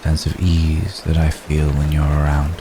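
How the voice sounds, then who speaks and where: Very deep, tender gentleman vampire acted by a man. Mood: tender, confessional. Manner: formal, halting, gentle, with long pauses. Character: centuries-old gentleman vampire, tender, protective, world-weary. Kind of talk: intimate ASMR romantic roleplay. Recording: steady rain noise behind voice. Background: rain falling.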